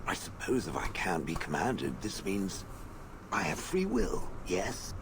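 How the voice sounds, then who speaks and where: An ancient stone golem from a fantasy video game, very low and full of gravel. The haughty, formal delivery is drily contemptuous yet swings widely in pitch, over clearly noisy game audio. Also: a little slow. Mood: musing, puzzled. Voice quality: deep, gravelly. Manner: haughty deadpan, formal phrasing, addresses people as "it". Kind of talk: video game character acting.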